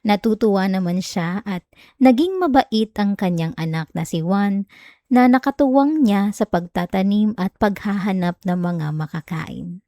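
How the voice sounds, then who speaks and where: A woman with a Filipino accent playing a sweet, clingy young wife in an intimate ASMR roleplay, speaking softly and affectionately close to the mic. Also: mid-range voice, lively intonation, unhurried, dry recording, close to an everyday voice. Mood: calm, soothing. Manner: soft, giggly, affectionate, coaxing. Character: sweet, clingy, teasing young wife soothing her partner. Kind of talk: intimate ASMR roleplay, bedtime chat and story reading. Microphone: close microphone, dry sound.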